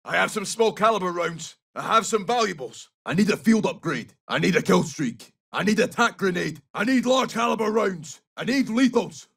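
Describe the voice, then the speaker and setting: An actor playing a tough, loyal Scottish special forces soldier in a video game, giving short, clipped callouts in a gravelly voice. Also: male voice, high-pitched, expressive, a bit bright, recorded from a distance, full character voice. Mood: brisk, businesslike. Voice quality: husky, gravelly. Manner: clipped, brisk, urgent.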